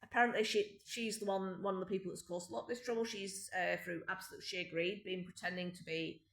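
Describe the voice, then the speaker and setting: A woman's voice: mid-range voice, steady tone, fast talker, fairly bright tone. A middle-aged British woman in an unscripted monologue at home, rambling and aggrieved, with many restarts and ums. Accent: British English. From Britain. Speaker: vlogger. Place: home indoors. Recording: echoey room.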